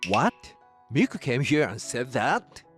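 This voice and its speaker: A man's voice: medium pitch, very animated, measured pace, a bit bright, soft background music. A man playing an earnest, concerned young fiancé in a dramatized manga story. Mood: surprised. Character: concerned young male fiancé, disbelieving but supportive.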